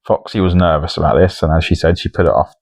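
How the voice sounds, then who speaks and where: A man with a low-pitched voice hosts a podcast. He speaks in a casual, conversational way, chatting back and forth. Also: fairly even intonation, quick pace, vocal fry, darkish tone, no echo, studio quality. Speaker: podcast host. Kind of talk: casual chat.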